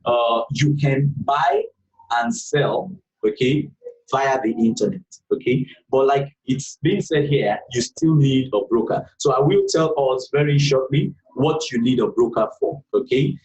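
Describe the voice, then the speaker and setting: A man's voice: medium pitch, sing-song, a little slow, a little mellow, echoey room, recorded from a distance, highs cut off. A man teaching a live online class for beginner traders, patient and repetitive, checking in with "okay" all the time. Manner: patient, repeats points, frequent "okay" checks. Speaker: trading instructor.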